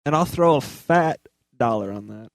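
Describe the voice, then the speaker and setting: A man's voice: mid-range voice, animated, moderate pace, gravelly, no echo, clean studio sound. A man co-hosting a talk show in casual banter. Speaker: show co-host.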